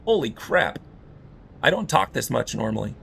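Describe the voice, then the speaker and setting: Hoarse, rambling male streamer. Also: mid-range voice, very animated, moderate pace, fairly bright tone, a little hiss or hum. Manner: frustrated, rambling, many fillers. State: voice going hoarse. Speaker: game streamer. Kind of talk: casual stream talk.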